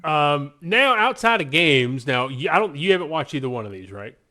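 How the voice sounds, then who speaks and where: A man's voice: a little high, big pitch swings, moderate pace, bright, forceful tone. Enthusiastic man co-hosting a casual podcast. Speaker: podcast co-host. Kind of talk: casual podcast chat about games and films.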